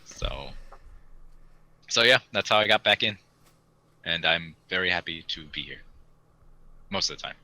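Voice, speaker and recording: A man's voice: low-pitched, animated, unhurried, long pauses, pushed and bright, dry recording. A young man chatting casually and in a rambling way as a podcast host. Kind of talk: casual chat.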